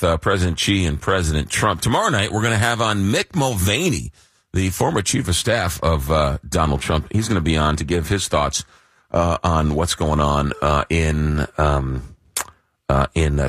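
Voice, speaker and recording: A man's voice: deep voice, fairly bright tone, no room sound. A man hosting a late-night call-in radio show, losing his voice to a cold, rambling with many fillers and dry jokes. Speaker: radio talk show host. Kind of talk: casual call-in radio chat. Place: radio studio.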